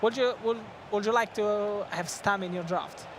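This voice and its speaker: A man with an Italian accent, native Italian speaker, doing excitable live esports commentary with frequent laughs. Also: sits high, moderate pace, background noise. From Italy. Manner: excitable, laughs often, non-native phrasing.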